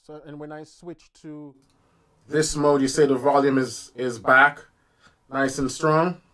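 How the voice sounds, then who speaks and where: A man teaching piano on a live stream, chatting casually with viewers and working through his setup out loud. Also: a little high, flat delivery, a little slow. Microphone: streaming mic.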